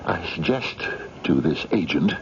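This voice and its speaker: An actor playing an indignant, evasive Soviet spy who blusters through halting denials in a radio drama. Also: male voice, sits low, expressive. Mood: guarded accusation. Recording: dull lo-fi radio broadcast, faint noise.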